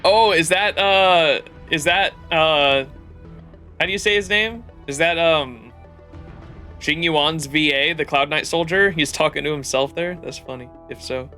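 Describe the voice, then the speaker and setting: A man doing casual livestream commentary on a game, excitable and rambling as he chats. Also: fairly high pitch, a little slow. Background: game music.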